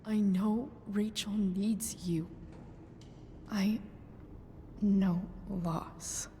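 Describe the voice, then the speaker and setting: A woman playing a rebellious, grieving teenage girl in a game, speaking haltingly and earnestly, often hushed. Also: mid-range voice, steady tone, big-room sound, a little hiss or hum. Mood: sad, hushed. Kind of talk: naturalistic dramatic game acting.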